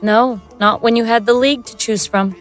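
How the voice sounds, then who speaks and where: A woman's voice: fairly high pitch, fairly even intonation, normal speed, soft background music, natural voice. A woman playing a bitter, betrayed and vengeful woman in a horror audio drama. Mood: eerie, taunting.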